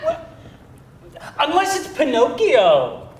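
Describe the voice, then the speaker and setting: Woman playing hapless nervous vampire hunter, high slow voice. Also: huge pitch swings, a little mellow, exaggerated voice. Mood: sheepish. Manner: hesitant, stammering, sheepish. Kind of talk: live screenplay table read. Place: indoor live reading before an audience. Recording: roomy live venue.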